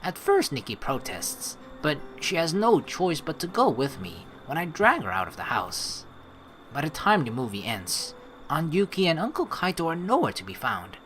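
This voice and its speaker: A woman's voice: sits low, wildly swooping pitch, moderate pace, fairly bright tone, soft background music, poor audio. A woman hosting a let's play, switching between reading game narration aloud and playful, teasing commentary.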